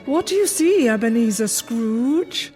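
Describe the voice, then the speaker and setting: Woman doing a grave spirit, commanding and slow, long echo effect, soft music behind. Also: fairly high pitch, animated. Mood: solemn. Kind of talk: radio drama acting. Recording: reverb effect on the voice.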